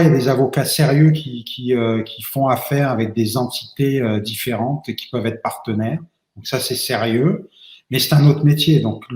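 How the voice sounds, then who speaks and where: A man of middling pitch answers questions as a lawyer, stopping often to fill with hesitations and restart his sentences. His voice sits in a noticeably roomy, echoing space. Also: a little mellow. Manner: hesitant, many fillers and restarts. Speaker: immigration lawyer. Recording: roomy echo.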